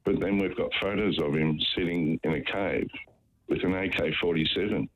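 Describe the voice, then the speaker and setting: A man phoning a radio show, giving his opinions in a hesitant, halting way. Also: deep voice. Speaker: radio phone-in caller. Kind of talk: casual opinion talk. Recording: dull, band-limited phone call.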